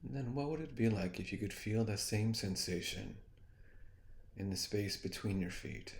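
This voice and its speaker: Man, meditation guide, gravelly low register, soothing, gently suggestive, lingering pauses. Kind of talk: guided meditation, hypnotic spoken guidance.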